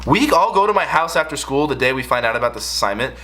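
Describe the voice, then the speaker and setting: A man's voice: medium pitch, quick pace, slight background noise. A young American man telling a casual storytime rant in an exasperated, slangy way. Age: young adult. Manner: exasperated, animated, slangy delivery. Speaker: video creator telling stories.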